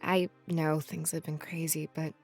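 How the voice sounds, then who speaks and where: A woman voicing a tender, earnest furry companion in a visual novel, soft and sincere. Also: deep voice, little pitch movement, a little slow, soft background music. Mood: affectionate.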